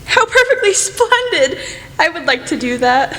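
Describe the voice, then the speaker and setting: A woman's voice: very high voice, big pitch swings, moderate pace, sharp tone, exaggerated voice. A young woman playing a bold, headstrong teenage tomboy sister in a radio play, with emphatic, theatrical delivery. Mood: excited, bold. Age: teens or young adult. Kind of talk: student radio play acting. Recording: reverberant hall, clear background noise.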